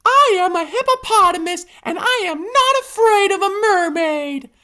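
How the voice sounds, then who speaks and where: A storyteller voicing a boastful female hippopotamus in a very high, strained voice. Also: animated, normal speed, sharp tone, heavily acted. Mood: defiant.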